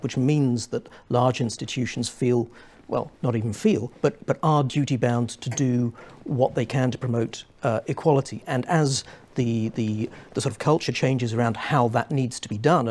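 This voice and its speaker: A young man on a studio panel, explaining at length in a measured way with many restarts. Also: mid-range voice, darkish tone. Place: small studio set with armchairs.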